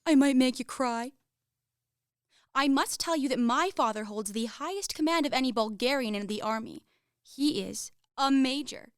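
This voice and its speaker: Haughty romantic young heiress for radio play, female actor: high voice, declamatory, earnest, proud, dry close recording. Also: normal speed, clear, resonant tone, no echo, close to an everyday voice.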